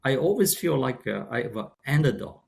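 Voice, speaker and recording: Gravelly older man, Chinese accent. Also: medium pitch, expressive. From China. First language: Shanghainese. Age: 60s. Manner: halting, many restarts and fillers. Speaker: guest, company founder. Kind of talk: casual interview talk.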